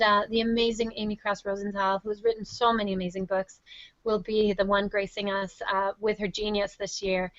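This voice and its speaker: A woman's voice: a little high, fairly bright tone, dry recording. Enthusiastic woman, dull lo-fi audio. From Wisconsin, United States. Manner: enthusiastic, effusive. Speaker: interview guest, teacher and reading project founder. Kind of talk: conversational interview answers.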